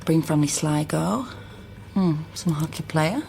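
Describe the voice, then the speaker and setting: An actress playing a helpful, level-headed genealogist in a naturalistic radio drama. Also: female voice, sits low, unhurried, creaky, darkish tone, a little hiss or hum. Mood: keen, focused.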